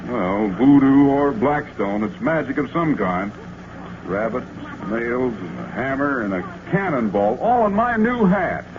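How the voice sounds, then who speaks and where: An actor playing a magician's excitable, exasperated pal, protesting with blustery exclamations in vintage radio drama style. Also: male voice, medium pitch, a little slow, close to an everyday voice. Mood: astonished. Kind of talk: 1940s radio drama acting. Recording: muffled, noisy old radio recording.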